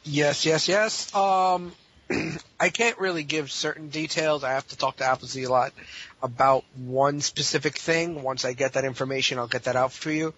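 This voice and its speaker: Bright-voiced man chatting, fairly high pitch. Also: steady tone, normal speed. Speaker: podcast guest. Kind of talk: casual chat. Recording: dull audio with faint noise.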